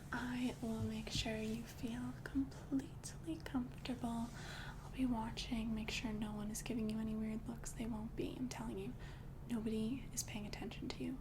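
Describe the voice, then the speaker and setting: A young woman voicing a calm, doting female vampire girlfriend in an ASMR roleplay, speaking in soft, intimate whispers close to the mic. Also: fairly high pitch, noisy background, natural voice. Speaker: ASMR creator.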